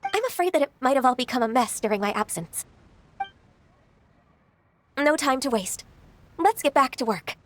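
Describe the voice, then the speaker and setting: A woman playing a gentle, dutiful, ageless secretary, polite and formal, in an anime video game. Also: high-pitched, lively intonation, normal speed, pauses often, slight background noise. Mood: resolved.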